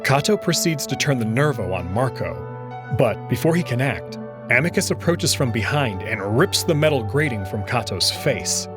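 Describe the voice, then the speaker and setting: A man narrates a visual novel as its host. His mid-pitched voice is animated and dramatic, reading scripted story text over a clear music bed. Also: normal speed. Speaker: host and reader of a game playthrough. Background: background music.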